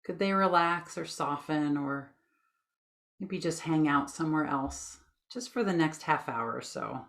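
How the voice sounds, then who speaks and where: A woman with a slightly low voice guides listeners through a meditation. She gives gentle, soothing instructions in plain, informal language, and she takes her time between phrases. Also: a little slow.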